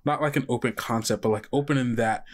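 A man's voice: medium pitch, fast. A man with an American accent who runs a tabletop game, narrating off the cuff in a conversational, rambling way full of asides. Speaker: game master.

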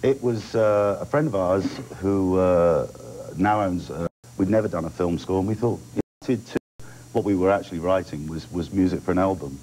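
A man's voice: sits low, dark tone, a little hiss or hum, rough recording. An English man in his forties, a TV guest, speaking hesitantly with many halts. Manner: hesitant, halting with fillers. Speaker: guest musician.

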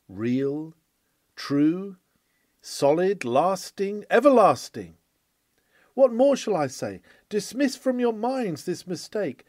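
Guy reading a formal old sermon, very animated intonation, declamatory, dry close sound. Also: a little high, no room sound. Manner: declamatory, formal, emphatic phrasing with deliberate pauses. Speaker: reader of a historic sermon. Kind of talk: oratorical sermon read aloud.

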